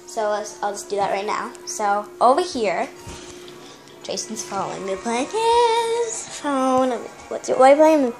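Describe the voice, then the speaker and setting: A woman's voice: on the high side, expressive, takes it slowly, music in the background. A young woman giving a rambling, giggly tour of her home, filmed on a handheld phone in a small house. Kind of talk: casual home tour narration. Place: small house, kitchen and bathroom. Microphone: handheld phone camera. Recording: noisy home phone video.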